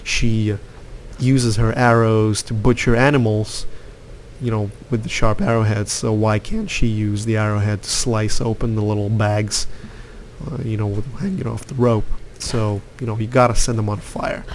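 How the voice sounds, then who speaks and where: Opinionated man, low voice. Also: flat delivery, normal speed, slight background noise. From Lithuania. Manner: rambling, opinionated, many fillers. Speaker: gaming youtuber. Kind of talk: casual gameplay commentary.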